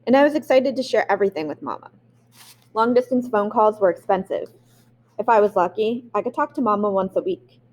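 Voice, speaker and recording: A woman's voice: medium pitch, expressive, fairly quick, a little hiss or hum. A woman reading a memoir aloud. She reads expressively and sometimes stops to restart a word. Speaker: audiobook reader.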